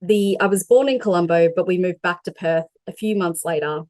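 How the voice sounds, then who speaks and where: A woman's voice: fairly low pitch, a little fast, a little mellow, dry recording. A young woman from Sri Lanka giving a prepared talk as a mental health advocate, dialing in over a video call. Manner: reads a prepared presentation steadily.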